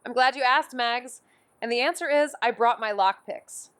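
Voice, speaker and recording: A woman's voice: on the high side, moderate pace, fairly bright tone, clean tone, no echo, close to an everyday voice. An actress in her twenties playing a cocky, playful amateur sleuth in an audio drama, glib and given to rambling, dramatic overexplaining. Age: 20s. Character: cocky, playful, self-taught young woman amateur sleuth. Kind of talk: naturalistic audio drama banter.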